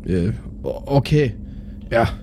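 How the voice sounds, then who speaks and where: A man plays a bumbling ship's captain in an improvised radio play. He has a mid-pitched voice, stumbles and repeats himself in casual talk, with faint noise behind him. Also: lively intonation, takes it slowly, warm tone. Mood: muddled. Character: sleepy, bumbling male spaceship captain and doctor. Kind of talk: improvised audio drama.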